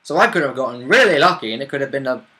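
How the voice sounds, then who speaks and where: A man with a bright, clear-cut voice chats as a player in a tabletop roleplaying group. He is agreeable and conversational, chiming in with brief confirmations and added detail. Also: mid-range voice, expressive, racing. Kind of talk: casual chat recapping a tabletop roleplaying game.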